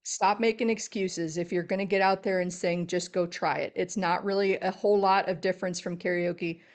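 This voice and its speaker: A middle-aged woman from Texas with a deep voice, a podcast guest chatting casually and telling animated stories. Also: moderate pace. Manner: animated storyteller, laughs often. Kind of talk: casual interview chat.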